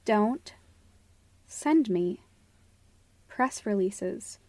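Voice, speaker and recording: A woman's voice: medium pitch, expressive, drawn-out words, warm tone, no echo. A woman co-hosting a podcast in casual, conversational discussion. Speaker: podcast co-host.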